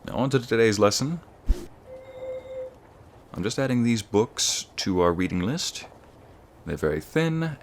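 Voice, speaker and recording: A man with an American accent playing an encouraging, scholarly wizard school professor in an ASMR roleplay, lecturing students in a measured, formal way. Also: fairly low pitch, slight background noise. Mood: brisk, instructive. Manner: measured, formal, teacherly, addressing students directly.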